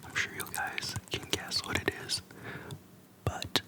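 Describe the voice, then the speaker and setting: Asmr creator whispering softly right up on the mic, casual fan chat, slow n breathy. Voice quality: soft, breathy unvoiced whisper. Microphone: close microphone for ASMR.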